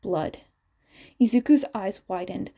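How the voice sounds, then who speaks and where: A woman's voice: medium pitch, a little slow, mellow. A woman narrating a scripted story aloud as a podfic reader.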